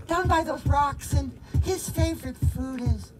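A woman voicing a small, clever brown mouse who bluffs bigger animals, in a high, eager storybook voice. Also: measured pace, loud music behind the voice, low-quality recording. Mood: bluffing.

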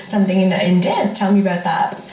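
Female interview host, medium pitch, affirming and chatty, recorded with noticeable room echo. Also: brisk, dark tone. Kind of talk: upbeat interview chat. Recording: roomy, echoing sound.

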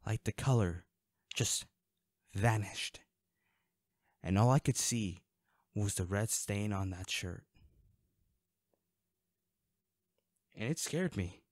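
An actor playing a protective, worried male bodyguard in an intimate, dramatic audio roleplay, with halting, emotional delivery. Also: fairly low pitch, no echo, studio quality. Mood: hurt, subdued.

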